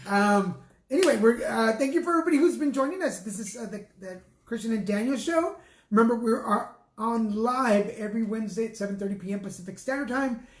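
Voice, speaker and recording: A man co-hosting a casual talk show, laid-back and halting, often restarting his sentences. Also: very high voice, lively intonation, roomy sound. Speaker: co-host. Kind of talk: casual chat.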